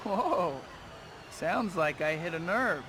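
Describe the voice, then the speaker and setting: A man's voice: a little high, expressive, slow, noisy background. An actor playing a wisecracking young male superhero in a video game, wry, earnest and conversational. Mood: cocky. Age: young adult.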